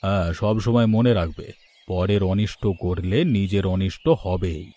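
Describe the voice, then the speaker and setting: A man's voice: low voice, steady tone, normal speed, warm tone. A man playing a stern village moralizer in a cartoon drama, talking in a preachy, admonishing way. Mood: moralizing.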